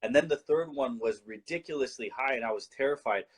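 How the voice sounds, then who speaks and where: A man's voice: medium pitch, a little fast, husky. A man from Colombia, a guest in a casual talk-show discussion, explaining his views earnestly and often restarting his sentences.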